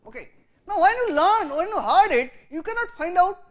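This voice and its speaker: A university lecturer whose first language is Bengali, speaking English with an Indian accent and explaining patiently, stressing some words loudly and letting line ends fall quiet. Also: very high-pitched, lively intonation, fairly quick, crowd noise.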